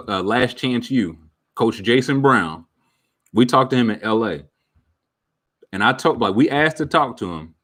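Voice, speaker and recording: A man's voice: mid-range voice, fairly bright tone. A man around thirty chatting casually with viewers as a streamer, candid and reflective, full of slang and fillers. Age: about 30. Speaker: streamer answering viewer questions. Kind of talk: casual chat.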